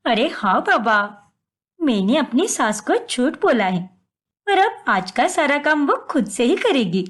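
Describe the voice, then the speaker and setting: A woman's voice: high-pitched, sing-song, measured pace, exaggerated voice. A woman voicing a scheming, deceitful young daughter-in-law in a story, whining and complaining dramatically. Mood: smug.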